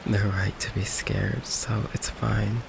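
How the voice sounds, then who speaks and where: A man playing a gentle, insecure goth vampire boyfriend in an ASMR roleplay, soft-spoken, halting and apologetic, with a gravelly voice. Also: deep voice, noisy background, full character voice. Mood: resigned, hurt. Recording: steady rain ambience behind the voice. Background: rain ambience.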